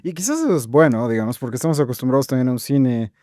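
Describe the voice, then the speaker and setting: A man's voice: medium pitch, lively intonation, very fast, dark tone, no echo, clean studio sound. A man with a Bolivian Spanish accent, co-hosting a podcast with conversational film criticism.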